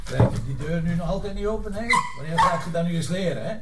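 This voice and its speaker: An actor playing a blunt, teasing and suspicious Flemish police detective in naturalistic television drama. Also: male voice, high voice, quick pace, very noisy, close to an everyday voice. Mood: exasperated, scolding. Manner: blunt, bantering, colloquial.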